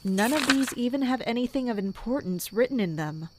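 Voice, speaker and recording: A woman playing a composed, wry captain of mercenaries, narrating and talking in a measured, matter-of-fact way in an audio drama. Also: a little high, animated. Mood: grim, focused. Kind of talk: first-person narration and dialogue in an audio drama.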